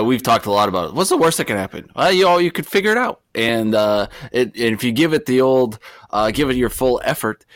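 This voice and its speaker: A man's voice: mid-range voice, no echo, studio quality. A man hosting a casual interview, friendly, joking and enthusiastic. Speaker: host.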